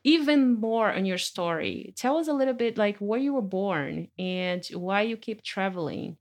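A woman photographer hosting a podcast interview in her studio, speaking gently and effusively with many fillers. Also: mid-range voice, expressive, moderate pace. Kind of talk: warm conversational interview.

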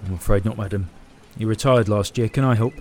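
An actor playing a dismissive police desk officer in an audio drama, formal, measured and patronising. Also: male voice, fairly low pitch, a little mellow, lightly acted. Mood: officious.

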